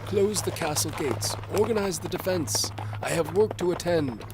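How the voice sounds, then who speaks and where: Man acting barking tyrant duke, pitched well up. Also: lively intonation, moderate pace, background noise. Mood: commanding. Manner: barking, commanding. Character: bellowing, imperious tyrant duke, cold and commanding.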